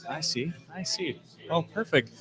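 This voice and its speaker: A man hosting an interview, asking short questions with restarts and quick laughs. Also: fairly high pitch, lively intonation, music in the background. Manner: short prompts, laughs along, stumbling restarts. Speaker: interviewer, podcast host. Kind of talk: casual interview chat.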